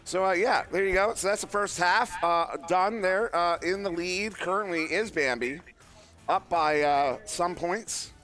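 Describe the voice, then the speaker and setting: Male esports caster, hoarse from a long event, self-deprecating, bright tone. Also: sits high, unhurried, faint music behind. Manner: joking, self-deprecating, rambling with fillers. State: losing his voice. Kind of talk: live play-by-play commentary. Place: live event venue.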